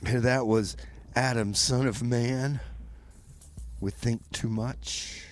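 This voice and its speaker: A man's voice: medium pitch, fairly even intonation, slow pace. A man hosting a music radio show, talking casually and with bemused, easygoing comic banter.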